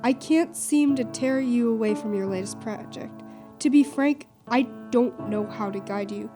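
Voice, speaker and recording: A woman acts a formal, exacting professor in a radio drama. The voice sits fairly high and the diction is haughty and emphatic. Music plays clearly behind it. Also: unhurried, close to an everyday voice. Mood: concerned. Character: stern, dismissive science professor mentoring a student. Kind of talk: radio play acting.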